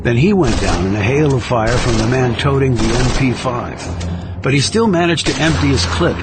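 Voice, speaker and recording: A male narrator reads a thriller audiobook in a mid-range voice. The delivery is scripted storytelling, with clearly audible music underneath. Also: medium pitch, lively intonation, moderate pace. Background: music under the reading.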